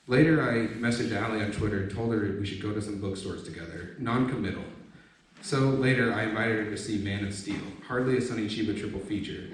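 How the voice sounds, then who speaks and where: A man with a fairly low voice reads a personal essay aloud to an audience. He is picked up from a distance in a very echoey room, with faint noise behind him. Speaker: author reading a personal essay at a book launch. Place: echoey room at a live book launch event.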